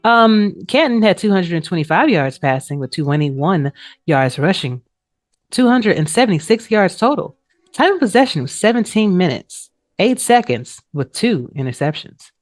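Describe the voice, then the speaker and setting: A woman's voice: fairly low pitch, big pitch swings, moderate pace, clean studio sound. A woman co-hosting a sports show, giving a casual recap in informal, colloquial phrasing. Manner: informal, colloquial phrasing with trailing "you know". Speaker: co-host of a sports league show, also a player. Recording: roomy echo, music behind.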